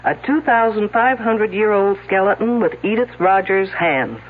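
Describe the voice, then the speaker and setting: Stern detective, tinny old radio. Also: male voice, high-pitched, normal speed. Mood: accusing. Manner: pressing, accusatory. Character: stern, accusing male police detective. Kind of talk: 1970s radio drama acting.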